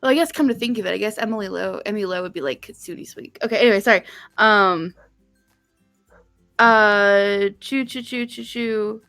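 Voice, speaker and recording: A woman's voice: medium pitch, normal speed, a bit bright, soft background music. A woman co-hosting a casual live stream, rambling and playful, with some swearing. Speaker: stream co-host and artist.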